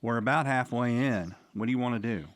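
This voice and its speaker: An actor playing an eager, deferential young cart driver in a radio drama. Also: male voice, mid-range voice, a little fast, lightly acted. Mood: puzzled, uneasy.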